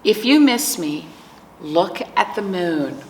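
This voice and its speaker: A woman's voice: lowish voice, animated, slight background noise. A woman voicing a loving old grandmother from a picture book, tender and reassuring. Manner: tender, unhurried promises.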